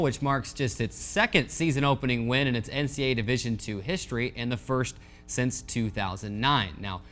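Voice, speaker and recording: A man hosting a sports show, reading a scripted recap of game scores and highlights. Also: fairly bright tone.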